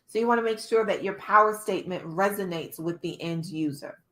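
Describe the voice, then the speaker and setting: A middle-aged woman coaching entrepreneurs in an online workshop, energetic, laughing and asking the audience questions. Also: mid-range voice, brisk. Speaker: business coach. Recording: faint background noise.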